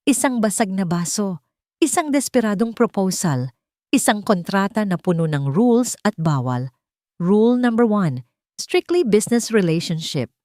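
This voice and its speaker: A woman's voice: mid-range voice, expressive, normal speed, a little mellow, dry recording, studio quality. A woman narrating a scripted first-person romance story.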